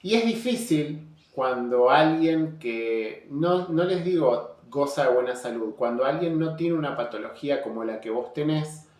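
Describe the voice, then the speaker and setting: A young man with an Argentine Rioplatense accent, talking candidly and earnestly in a personal vlog, with halting restarts. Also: on the high side, lively intonation, a little mellow, reverberant. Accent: Argentine Rioplatense Spanish. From Buenos Aires province, Argentina. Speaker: vlogger. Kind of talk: casual personal vlog monologue.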